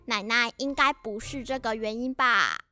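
A woman's voice: on the high side, normal speed, bright, forceful tone, soft background music. A young woman playing a curious, sweet schoolgirl granddaughter in a family radio drama.

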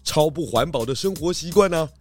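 A man with a Taiwanese accent voicing a clever, calm pig detective in a children's audio drama, patiently explaining clues. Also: on the high side, a little fast, soft background music, lightly acted. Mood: confident explaining.

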